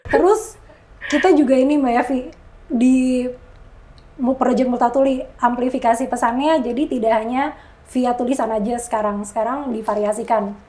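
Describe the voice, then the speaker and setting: A woman hosting a casual podcast chat, easygoing and quick with short affirming replies. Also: fairly high pitch, steady tone, mellow, slight background noise, low-quality recording. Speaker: podcast host.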